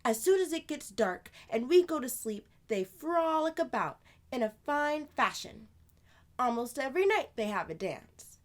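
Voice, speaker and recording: A woman plays a storybook big sister spinning fanciful tales. The voice is high and very lively in its swoops, emphatic, playful and confiding, as if fully in the know. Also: a little slow. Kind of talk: children's storybook read-aloud.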